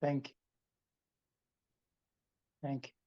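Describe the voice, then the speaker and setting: A man hosting a live guided meditation over a video call, speaking slowly and softly. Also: no room sound, dull audio. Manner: slow, soft, soothing delivery. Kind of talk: guided meditation, then casual chat.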